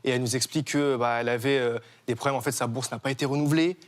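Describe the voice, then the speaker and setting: A man's voice: medium pitch, fairly even intonation, very fast. A young man, guest on a TV talk show, explaining his charity with many fillers and restarts. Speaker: guest, association co-founder. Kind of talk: TV studio interview.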